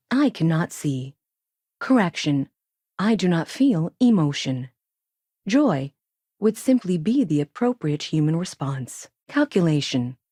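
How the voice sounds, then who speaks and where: A woman playing an emotionless, logical female AI space probe in a video game, speaking in a clipped, formal, deadpan way. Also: low voice, no echo, studio quality. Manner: clipped, formal, deadpan, announces each statement type first. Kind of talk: scripted game dialogue.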